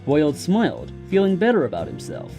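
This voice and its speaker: A man's voice: a little high, huge pitch swings, a little fast, over music. A man reading a scripted story as its narrator.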